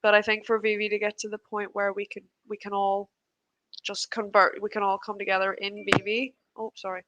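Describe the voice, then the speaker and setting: A woman's voice: medium pitch, monotone, fairly quick, very noisy. A woman hosting a casual livestream chat, talking in a chatty, hesitant way with lots of filler words. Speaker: host of a livestream chat show.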